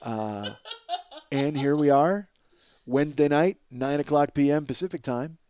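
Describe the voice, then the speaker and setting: A man hosting a podcast, telling a casual, profane comic story in an animated, exaggerating way and acting out both sides of conversations. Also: fairly high pitch, measured pace, a little hiss or hum. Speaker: podcast host.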